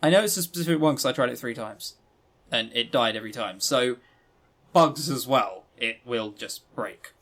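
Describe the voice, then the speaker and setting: A man's voice: medium pitch, expressive, normal speed, fairly bright tone. A man co-hosting a podcast, giving an emphatic, rambling, opinionated review in casual talk. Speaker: podcast co-host. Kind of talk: casual review commentary on visual novels.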